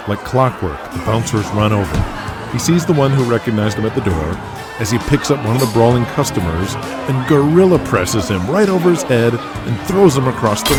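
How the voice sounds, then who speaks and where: A man with an American accent reading dramatic scripted narration for an audio drama. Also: medium pitch.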